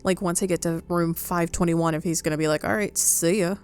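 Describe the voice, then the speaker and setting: A woman's voice: lowish voice, fairly even intonation, brisk, darkish tone, clean tone, faint music behind. A woman giving casual commentary as she plays a game, reacting aloud and talking back. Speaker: game player.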